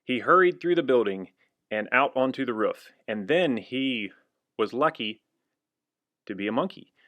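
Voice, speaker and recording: Low-voiced man reading a children's storybook. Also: lively intonation, a little slow, no room sound, muffled recording. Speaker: volunteer reader of a children's book. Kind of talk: children's picture book read-aloud, animated storytelling.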